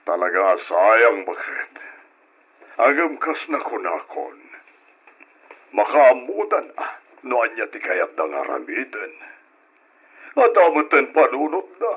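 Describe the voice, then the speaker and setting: An easygoing older father in an old radio play, hearty and practical. His voice is bright but husky, slow, and lively in pitch. The sound is dull and lo-fi, as from an old radio broadcast. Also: heavily acted. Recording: lo-fi old radio drama recording.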